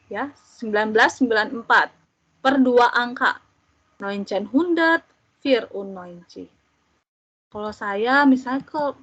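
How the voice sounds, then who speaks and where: A young woman in her twenties teaching, explaining step by step and slowly repeating each example for learners. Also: fairly high pitch, breathy edge, no echo. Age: 20s. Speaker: German language teacher. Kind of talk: slow, patient classroom explanation. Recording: dull, dry lo-fi audio.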